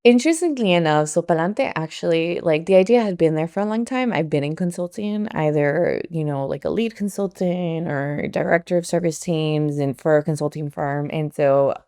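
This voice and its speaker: A low-voiced woman in her thirties with a gravelly edge, chatting as an interview guest. She talks in a loose, filler-heavy way and drops in the odd Spanish word. Close, dry sound with no room echo. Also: normal speed, few pauses. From Colombia. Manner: chatty, warm-hearted, many fillers like "like" and "you know", mixes in Spanish words. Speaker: nonprofit consultant and founder, guest. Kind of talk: casual conversational interview.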